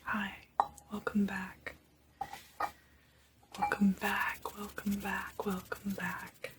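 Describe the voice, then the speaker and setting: Slow whispering ASMR woman. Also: medium pitch, completely flat delivery, background noise. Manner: slow, hushed, repeats phrases softly. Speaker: ASMR video host. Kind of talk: whispered ASMR guided meditation.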